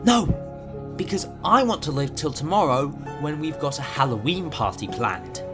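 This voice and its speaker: A man's voice: fairly high pitch, animated, normal speed, over music, close to an everyday voice. A young man playing an eager, curious college student in an amateur audio drama. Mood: alarmed.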